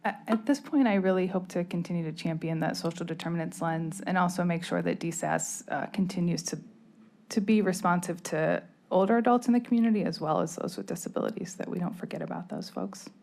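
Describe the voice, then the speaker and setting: A woman with a dark, mellow tone and slightly low pitch speaks at a public meeting. She sounds earnest and a little hesitant, as a new appointee. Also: a little fast, gravelly. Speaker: board appointee. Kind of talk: semi-formal remarks at a public meeting.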